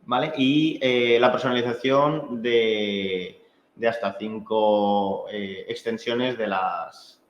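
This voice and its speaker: A man with a Spain Spanish accent giving an informal technical training, explaining in a halting, conversational way with frequent check-in tags. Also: medium pitch. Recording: echoey room, dull muffled sound.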